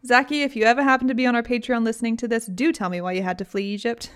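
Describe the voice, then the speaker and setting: A young British woman hosting a podcast, telling a story in a casual, chatty way with lively asides. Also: on the high side, racing. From Britain. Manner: chatty, animated, frequent laughs and asides. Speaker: podcast host.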